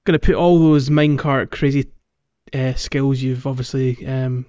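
A man hosting a podcast, chatting casually about a video game in a hesitant, halting way with repeated words. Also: mid-range voice, fairly even intonation, mellow, smooth, no echo, muffled recording. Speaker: podcast host.

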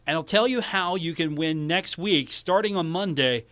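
Higher-pitched male sports radio host. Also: moderate pace, fairly bright tone. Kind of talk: casual live sports radio hosting.

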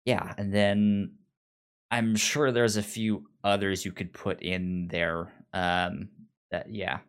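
A man's voice: fairly low pitch, flat delivery, takes it slowly, smooth. A man co-hosting a casual podcast discussion, stammering and repeating syllables as he works through his thoughts. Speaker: pop culture podcast co-host.

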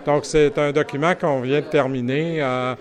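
A man's voice: on the high side, steady tone, moderate pace. An older man with a Canadian French accent speaking reflectively and hesitantly, with many fillers.

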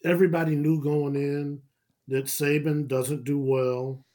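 A man's voice: a little high, flat delivery, measured pace, dark tone. A man, chatting casually about sports, rambling and laughing often. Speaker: podcast guest.

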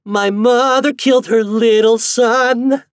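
A man voicing an enchanted storybook bird, eerie and mournful yet proud, in slow, drawn-out singsong verse. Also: fairly high pitch, wildly swooping pitch, darkish tone, husky, dry recording, clean studio sound, voice pushed up, full character voice.

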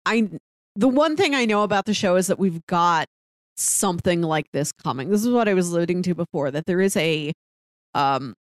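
A woman's voice: fairly low pitch, lively intonation, normal speed, no room sound. A woman co-hosting a casual TV review chat, thinking aloud and restarting her phrases as she goes. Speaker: podcast co-host.

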